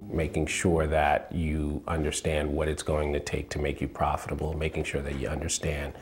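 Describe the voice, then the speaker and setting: Very deep-voiced man. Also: monotone, over music. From Liberia. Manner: measured, repeats phrases for emphasis. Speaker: company co-founder. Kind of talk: sit-down interview answers. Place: indoors, shop with product shelves.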